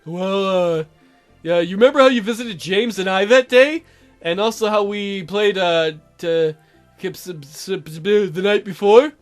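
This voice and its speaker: A man voicing an awkward teenage boy in a dating sim, halting and offhand, gently reproachful toward a friend. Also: sits high, faint music behind, pitched below the performer's own voice. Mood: explaining. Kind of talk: dating sim dialogue read aloud.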